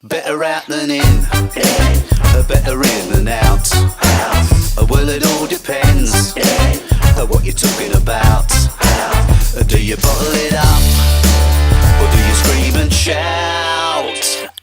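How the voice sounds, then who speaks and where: A woman's voice: extremely low pitch, sing-song, slow, exaggerated voice. A woman playing a playful, dithering character in a musical patter song. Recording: voice under loud funk music.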